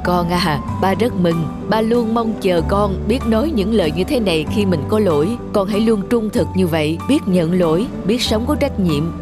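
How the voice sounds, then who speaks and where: A man playing a stern but kindly middle-aged father in an animated moral tale, speaking in a measured, instructive way over music. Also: sits high, lightly acted. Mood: pleased, encouraging. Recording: music under the voice.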